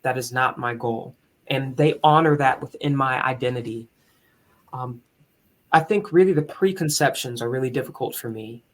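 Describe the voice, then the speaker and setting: A man with a higher tenor voice, speaking as a musician and conductor in an earnest, candid, reflective way in a conversational interview. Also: moderate pace.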